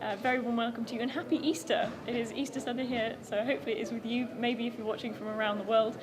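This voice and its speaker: A woman's voice: fairly high pitch, level tone, quick pace, a crowd in the background. A woman hosting a live stream interview at a conference, welcoming and chatty. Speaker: host. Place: conference venue.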